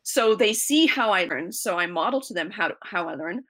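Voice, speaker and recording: Bright-voiced woman teacher from South Africa. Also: mid-range voice, animated, normal speed. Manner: earnest, explanatory, many "you know" fillers. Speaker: teacher, interview guest. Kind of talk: conversational interview answers.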